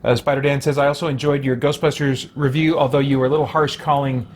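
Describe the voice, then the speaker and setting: A man's voice: mid-range voice, flat delivery, quick pace, a little mellow, a little hiss or hum. A man hosting a game livestream, thinking aloud in a casual, rambling way as he chats with viewers.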